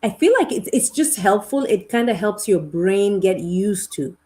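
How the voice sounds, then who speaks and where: A middle-aged woman from Kenya with a Kenyan accent, speaking as a guest on a video-call interview in a thoughtful, unhurried way. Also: medium pitch, animated, mellow. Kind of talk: conversational interview.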